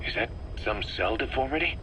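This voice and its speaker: A man's voice: mid-range voice, lively intonation, a little fast, background noise, close to an everyday voice. An actor playing a skeptical military man in a video game, blunt and questioning. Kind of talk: video game dramatic dialogue.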